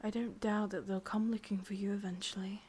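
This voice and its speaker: A woman with an English accent playing an ancient, lovelorn witch in an ASMR roleplay, speaking intimately in soft, breathy whispers with musing pauses. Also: mid-range voice, little pitch movement, slight background noise, close to an everyday voice. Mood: musing, guarded.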